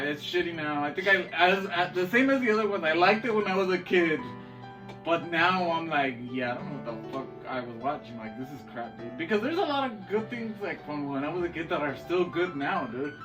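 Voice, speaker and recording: A man's voice: fairly high pitch, lively intonation, a little fast. A man co-hosting a podcast in casual, slangy chat about movies and games, swearing and saying dude often. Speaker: podcast co-host. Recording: distant mic with music playing behind.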